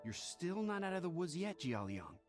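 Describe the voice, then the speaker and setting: An earnest young apprentice in a video game. His fairly high male voice moves expressively, polite and careful, over clear music. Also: very animated, moderate pace. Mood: earnest, advising.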